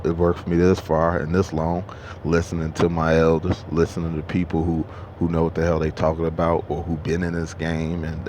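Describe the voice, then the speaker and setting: A man's voice: extremely low pitch, darkish tone, slight background noise. A truck driver in his thirties talking casually to camera at a truck stop, rambling and profane, with many restarts. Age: 30s.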